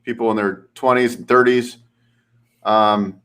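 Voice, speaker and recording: A man's voice: medium pitch, steady tone, a little slow, a bit bright. Man, forties, casual chat, rambling, lots of fillers. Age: 40s. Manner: rambling, many restarts and fillers.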